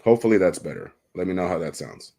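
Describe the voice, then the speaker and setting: Guy with a super low voice running a livestream, joking around on a desk mic. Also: animated, quick pace, gravelly, dark tone. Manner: chatty, laughs often, loose and joking. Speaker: livestream host. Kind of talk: casual livestream chat. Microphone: desk microphone.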